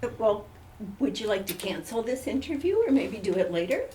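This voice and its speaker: A woman's voice: fairly high pitch, big pitch swings, moderate pace, dark tone, a little hiss or hum. A woman playing a polite, sympathetic interviewer in a scripted comedy mock news report. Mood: polite, professional.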